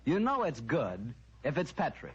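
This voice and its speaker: A man announcing on an old-time radio show, in the manner of a vintage sponsor pitch and host. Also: wildly swooping pitch, normal speed. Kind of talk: vintage radio advertising and hosting. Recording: dull, noisy vintage radio recording.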